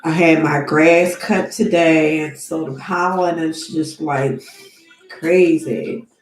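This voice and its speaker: A woman giving a tarot reading who talks slowly and deliberately, sniffling from allergies, and calls on spirits in a measured, invocation-like way. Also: deep voice, fairly even intonation, reverberant, faint music behind. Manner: slow, soft and deliberate, with an invocation-like cadence. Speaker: tarot card reader.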